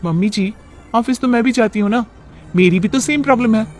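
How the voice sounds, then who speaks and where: A man's voice: sits high, a little fast, soft background music, lightly acted. A man voicing a defiant, fed-up young working daughter-in-law who argues and complains in a cartoon moral story. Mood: argumentative. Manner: complaining, argumentative.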